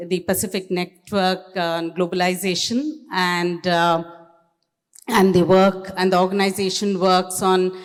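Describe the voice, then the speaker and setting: Smooth-voiced woman moderating a panel. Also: fairly low pitch. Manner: hesitant, many fillers. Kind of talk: conference moderation. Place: conference hall. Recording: reverberant conference hall.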